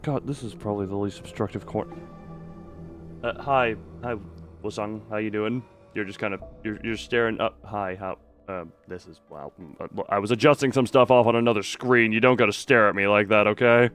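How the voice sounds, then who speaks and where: A man giving casual live game commentary, halting and wry as he thinks aloud. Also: lowish voice, a bit bright. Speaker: game streamer. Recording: faint game music behind.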